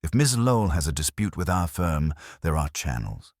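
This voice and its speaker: A man's voice: extremely low pitch, sing-song, normal speed, a little mellow, no room sound, studio quality, exaggerated voice. A man voicing a slick, dismissive corporate executive in a detective audiobook, formal and evasive.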